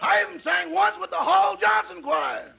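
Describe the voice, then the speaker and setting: A man playing a cheeky, boastful valet with a gravelly voice and quick comebacks in 1950s radio comedy. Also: very high voice, heavily acted. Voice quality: raspy, gravelly. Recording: noisy muffled old radio recording.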